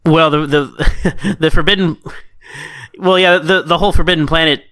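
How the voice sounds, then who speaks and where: A man co-hosting a casual review discussion, informal and opinionated, talking in an animated way. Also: on the high side, normal speed, dry recording. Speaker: podcast co-host.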